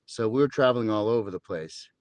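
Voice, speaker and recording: A man's voice: on the low side, fast talker, a little mellow, dry recording. A man in his fifties from Southern California, telling his story as a podcast guest with dry jokes and rambling asides. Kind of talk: casual interview talk.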